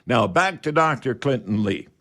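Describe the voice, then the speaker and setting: A man's voice: medium pitch, lively intonation, moderate pace, fairly bright tone. A man hosting a casual live radio show, talking in a folksy, rambling way.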